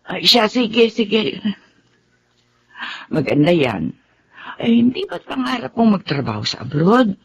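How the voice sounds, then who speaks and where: A woman's voice: medium pitch, very animated, unhurried, creaky, pauses often, slightly rough, exaggerated voice. A woman with a Filipino accent playing an affectionate, motherly character in a radio drama, speaking expressively. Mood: encouraging. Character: affectionate, encouraging Filipina mother, often ailing.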